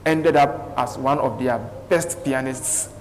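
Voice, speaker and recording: A man's voice: mid-range voice, steady tone, slow, darkish tone. A man speaking earnestly as a talk show guest, telling his life story with restarts. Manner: earnest, with restarts and thanks to God's grace. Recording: reverberant studio, faint background noise.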